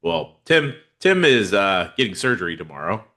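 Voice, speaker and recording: Congested deep-voiced man hosting. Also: very animated, moderate pace, pushed and bright. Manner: laid-back, rambling with many fillers. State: congested from a head cold. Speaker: podcast host. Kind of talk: casual fantasy football chat.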